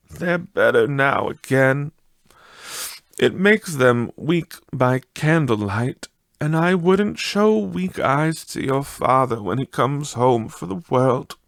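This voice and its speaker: A man voicing a tender, weary, grieving mother in an audiobook story, speaking in a halting, wistful way. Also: medium pitch, creaky, no echo, studio quality, voice pushed up.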